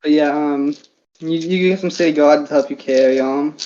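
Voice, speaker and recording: Mellow-voiced game master, lo-fi sound. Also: medium pitch, moderate pace. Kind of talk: narrating a tabletop game. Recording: dull lo-fi audio.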